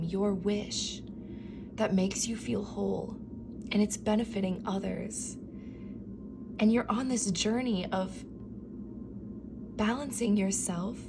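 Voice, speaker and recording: Soft-spoken woman losing her voice. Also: medium pitch, noisy background. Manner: soft, affirming, often dropping to a whisper. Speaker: tarot reader. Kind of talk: pick-a-card tarot reading.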